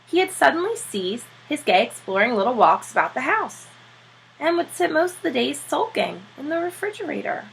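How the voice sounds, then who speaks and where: A woman reading a children's storybook aloud with expressive narration, like a teacher reading to pupils. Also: high voice, moderate pace, vocal fry, poor audio.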